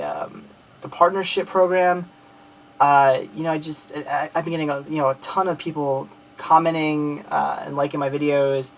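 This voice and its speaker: A man's voice: a little high, low-quality recording. A man in his late twenties from outside Philadelphia, talking casually to camera about his movie collection, rambling with many fillers and restarts in a friendly, grateful way. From outside Philadelphia, Pennsylvania.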